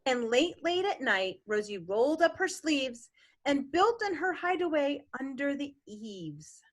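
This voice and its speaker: A woman's voice: high-pitched, a little slow. A woman co-hosting a storytime, reading a children's picture book expressively and adding chatty asides. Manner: animated, with chatty asides to young listeners. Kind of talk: expressive children's read-aloud.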